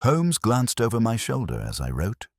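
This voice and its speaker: A man reading scripted first-person literary narration in a measured, solemn manner. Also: mid-range voice, huge pitch swings, creaky, dry recording, clean studio sound. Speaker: audiobook narrator.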